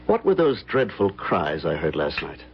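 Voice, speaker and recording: An actor playing a haunted, nervous gentleman in an old-time radio drama, fraught and dramatic as he talks and tells his tale. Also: low voice, wildly swooping pitch, moderate pace, breathy edge, full character voice. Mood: agitated. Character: haunted, nervous gentleman recalling terror of decades past. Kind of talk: old-time radio drama acting, first-person narration. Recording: dull vintage radio recording, loud music behind.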